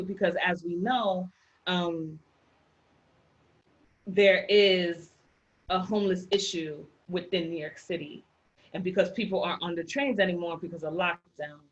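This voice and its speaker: A woman's voice: lowish voice, highs cut off. A woman with a Haitian accent presenting a housing rights workshop over slides, emphatic and repeating her key points. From Haitian background.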